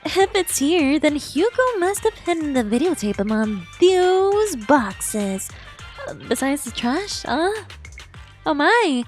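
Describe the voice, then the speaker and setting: A woman streaming a game live, reacting playfully and expressively and reading dialogue aloud. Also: high voice. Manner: playful, expressive, cutesy exclamations.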